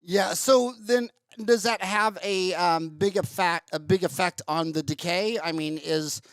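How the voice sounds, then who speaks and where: Male host, rambling and um-heavy, smooth, dry close sound. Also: sits high, measured pace, a bit bright, no echo. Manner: rambling, searches for words with many ums. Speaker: podcast host. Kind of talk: casual interview chat.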